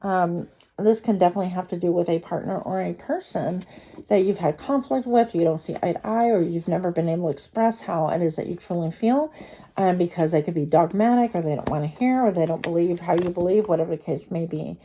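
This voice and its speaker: A woman's voice: fairly low pitch, brisk, mellow, a little hiss or hum. A woman with a cold and a congested voice, giving a casual, chatty tarot reading to her viewers with frequent fillers and restarts. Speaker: tarot card reader.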